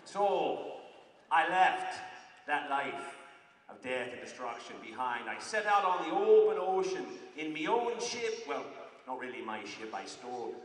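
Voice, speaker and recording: A man's voice: on the high side, big pitch swings, measured pace, roomy sound, in a large hall, distant mic. A man performing a stage comedy monologue as a boastful former pirate turned motivational speaker, with a put-on pirate accent, hammy growls and pirate slang. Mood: rambling. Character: boastful male pirate turned self-help guru. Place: large event hall with audience.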